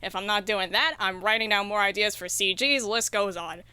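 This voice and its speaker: A woman chatting casually as a podcast guest, expressive and jokingly self-deprecating. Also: medium pitch, moderate pace, clear, resonant tone, no room sound. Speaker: podcast guest, indie game developer. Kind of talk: casual chat.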